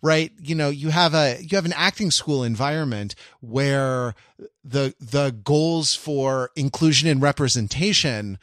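A man co-hosting a podcast, thinking aloud in a philosophical, roundabout way. He pauses often with fillers and restarts, his voice mid-pitched and recorded dry and close. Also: fairly bright tone, dry recording. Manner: musing, philosophical, halting with many fillers. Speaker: podcast co-host. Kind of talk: casual chat.

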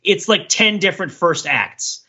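Bright, husky man analyzing films casually. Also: high-pitched, expressive, a little slow, highs cut off. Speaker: podcast panelist.

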